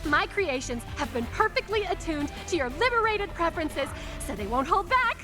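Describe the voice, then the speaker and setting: A very high, swooping female voice for a video game villainess, an obsessive mad inventor. She gushes theatrically and seductively, with a manic edge, over background music. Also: very animated, moderate pace. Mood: seductive, menacing. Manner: gushing, theatrical, seductive, swinging into mania.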